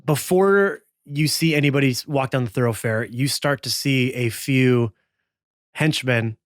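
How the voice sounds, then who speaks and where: A man working as game master, improvising suspenseful narration for a tabletop western in a halting, lingering way. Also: medium pitch, dry recording, clean studio sound.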